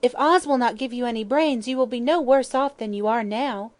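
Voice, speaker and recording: A man voicing a kind, polite little farm girl from a storybook, earnest, gentle and curious. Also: extremely high pitch, fairly quick, voice pushed up. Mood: sympathetic. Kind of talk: storybook character voice.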